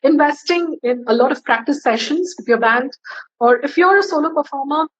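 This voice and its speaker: A woman's voice: moderate pace, highs cut off. A woman singer giving casual tips in an interview, chatty and friendly, with many fillers. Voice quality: low, bass-leaning voice for a woman.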